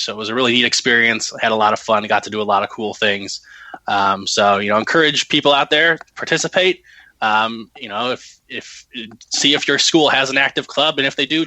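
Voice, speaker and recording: A man talks informally as a guest and organizer. His voice is very bright and forward at an ordinary male pitch, recorded dry. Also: no echo, rough recording. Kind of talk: casual interview talk.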